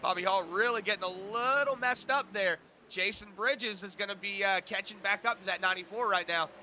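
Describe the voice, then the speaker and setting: A high, very bright, tense male voice, a bit hoarse and raspy from lingering sinus trouble, calling laps in a loose, rambling play-by-play style full of "uh"s. There is a faint hiss of noise behind him. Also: fairly quick. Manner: relaxed, rambling play-by-play with fillers. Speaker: race commentator. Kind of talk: play-by-play sim racing commentary.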